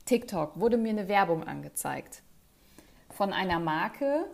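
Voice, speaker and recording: A woman chatting casually with a friend, talkative and quick to laugh, with lots of fillers. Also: medium pitch, expressive, creaky, a little mellow. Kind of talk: casual chat.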